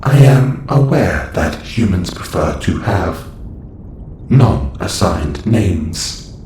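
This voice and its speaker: A man's voice: low voice, lively intonation, takes it slowly, darkish tone. A man voicing a clinical, detached observation robot in an audio roleplay, speaking in a stilted, formal, matter-of-fact way. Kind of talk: scripted audio roleplay monologue. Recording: roomy echo with faint noise.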